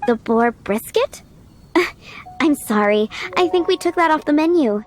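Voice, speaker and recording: High-voiced polite waitress. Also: female voice, expressive, unhurried. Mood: sheepish, apologetic. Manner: courteous customer-service delivery. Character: polite, cheerful, apologetic young restaurant waitress. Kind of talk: video game character acting.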